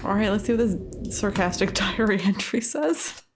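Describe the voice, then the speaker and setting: A woman's voice: medium pitch, lively intonation, moderate pace, noisy background. A woman giving chatty, casual gameplay commentary, muttering reactions to herself as she plays. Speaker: let's play host.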